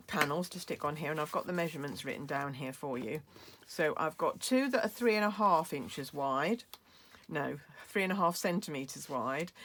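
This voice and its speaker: A British woman demonstrating a craft project, chatty and explaining each step with fillers, her voice going hoarse. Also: low-pitched. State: losing her voice, coughing. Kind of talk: crafting tutorial.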